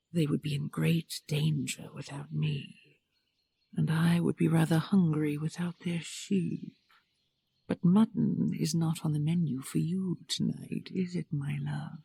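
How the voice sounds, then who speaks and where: Woman acting a commanding dragon queen, very breathy and husky, slow measured formal delivery, doting, secretive, fantasy audio roleplay. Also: deep voice, dark tone, heavily acted. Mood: tender, teasing.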